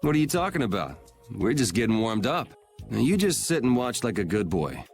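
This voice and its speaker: A man's voice: mid-range voice, very animated, fairly quick, soft background music. A young man voicing a carefree, cocky swordsman in a video game, with a wry, blunt, easygoing delivery.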